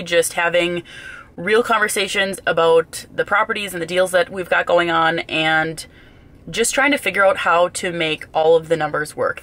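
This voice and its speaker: A young woman talking casually to the camera in a day-in-the-life vlog, chatty and quick, often laughing and drifting into little rants, filmed at arm's length at home and in her car. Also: fairly low pitch, fairly bright tone. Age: young adult. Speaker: vlogger and business owner. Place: kitchen and bathroom at home, then inside a car. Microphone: handheld camera at arm's length.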